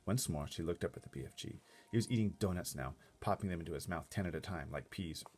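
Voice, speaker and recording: A man's voice: sits low, fast, a little mellow, slightly rough. A man narrating a storybook aloud expressively, slipping into casual asides. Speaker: storybook reader.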